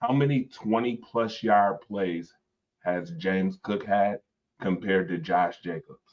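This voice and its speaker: A man with a low voice argues his side in a casual sports debate. He lays out his case and breaks in to hold the floor. His sound comes through dull and lo-fi. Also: slow pace.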